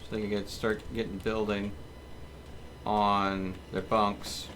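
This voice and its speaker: A man giving casual live commentary as he plays a game, thinking aloud in an unhurried way. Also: fairly low pitch, level tone. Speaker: game streamer. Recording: clear background noise.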